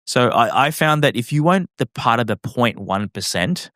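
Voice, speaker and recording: A man's voice: medium pitch, animated, normal speed, fairly bright tone, no echo, clean studio sound. An Australian man talking as a podcast guest, reflective and casual, with many fillers and restarts. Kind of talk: casual interview chat.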